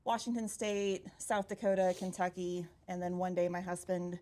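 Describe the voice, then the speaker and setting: A woman's voice: fairly low pitch, steady tone, moderate pace, mellow. A woman in her thirties who grew up in Hawaii, a podcast guest who tells her story in a bubbly way and laughs often. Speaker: tourism director, guest. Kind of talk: casual podcast conversation. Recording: faint background noise.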